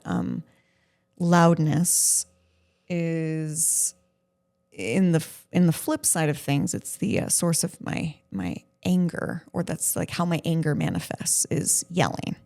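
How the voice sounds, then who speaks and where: A woman's voice: low-pitched, expressive, unhurried, creaky, a little mellow. A woman co-hosting a podcast in casual conversation, with a blunt, self-deprecating way of talking. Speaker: podcast co-host interviewing her partner.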